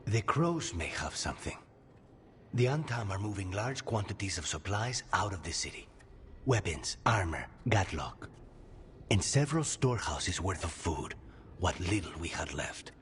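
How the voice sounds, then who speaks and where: A male assassin for hire in a fantasy game. The voice is deep, dry and businesslike. It rings in a long reverb with faint ambience behind. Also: sing-song, a little hiss or hum. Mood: cool, businesslike. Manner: dry, measured, matter-of-fact. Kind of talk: dramatic fantasy game voice acting.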